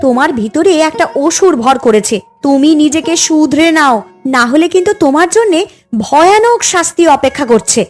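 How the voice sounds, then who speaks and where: An actress playing a conscientious, distressed village wife in a cartoon, with a pleading, reproachful way of talking. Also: female voice, sits high, lively intonation, moderate pace. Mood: alarmed, scolding. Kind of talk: dubbed cartoon dialogue. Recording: music under the voice.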